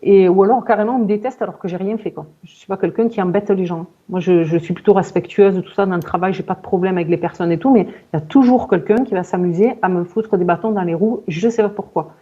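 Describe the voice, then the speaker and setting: Mellow-voiced woman in her forties, muffled audio. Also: mid-range voice, husky. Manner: halting, self-searching, repeats words. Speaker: client in a hypnosis session interview. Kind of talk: personal account in conversation.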